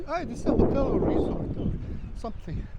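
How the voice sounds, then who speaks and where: A man with a strikingly high voice rambles to his viewers as he walks along the shore. His English is loose and broken, and his talk is chatty. Wind buffets the handheld camera mic throughout. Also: lively intonation, fairly quick. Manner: chatty, non-native English with broken grammar. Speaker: travel vlogger. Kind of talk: casual walking vlog chat. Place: outdoors on a windy beach. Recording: wind noise on the mic outdoors. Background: wind and outdoor ambience.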